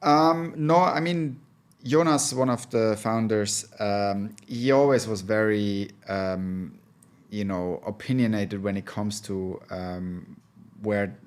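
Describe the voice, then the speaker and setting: Guy with a low voice, vc guest, lots of ums, thinks as he talks. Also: slow pace, clear, resonant tone. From Switzerland. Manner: thoughtful, hesitant, many filler sounds. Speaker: venture capital partner, guest. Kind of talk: casual interview talk.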